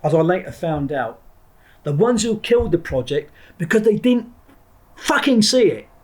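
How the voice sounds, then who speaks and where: Middle-aged man, husky voice, a bit high, blunt and rambling, interview guest. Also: sing-song, moderate pace. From England. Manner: blunt and digressive, with frequent restarts and the odd swear word. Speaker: podcast guest, former Marine trainer. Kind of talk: reflective interview talk.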